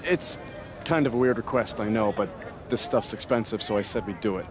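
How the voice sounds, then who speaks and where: An actor playing a laid-back, goofy wrestler sidekick in a video game cutscene, casual and wry. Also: male voice, on the low side, brisk, background noise, lightly acted.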